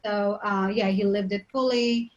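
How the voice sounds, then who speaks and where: A woman's voice: mid-range voice, unhurried, lo-fi sound. A woman in her thirties from Albania with an Albanian accent, a guest talking in a casual interview with lots of ums and laughter. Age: 30s. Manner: animated, frequent ums and laughs.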